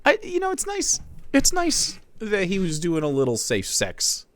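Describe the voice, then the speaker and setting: Man, streamer, dramatic reading, wry asides, bright tone, dry close mic. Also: high voice, wildly swooping pitch, a little slow, no room sound.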